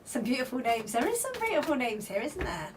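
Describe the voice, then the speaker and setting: Croaky-voiced woman reading cards live. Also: sits high, big pitch swings, a little fast. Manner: reads comments aloud and greets viewers by name; flowing spiritual guidance with repeated phrases. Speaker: tarot card reader on a livestream. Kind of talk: live card-reading stream, chatting with viewers. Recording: slightly distant mic with faint background noise.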